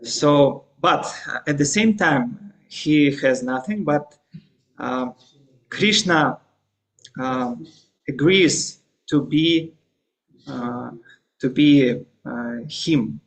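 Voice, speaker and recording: Slow, halting man with Russian accent. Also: mid-range voice, low-quality recording. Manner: halting, frequent fillers and restarts; hesitant non-native English. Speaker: guest lecturer. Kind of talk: scripture class lecture.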